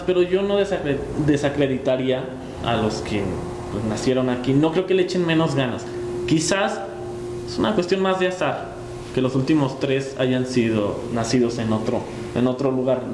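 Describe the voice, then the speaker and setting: A man from Jalisco with a Mexican Spanish accent, a panelist who argues firmly and repeats his key points. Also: mid-range voice. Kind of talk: debate argument. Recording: echoey room with noise.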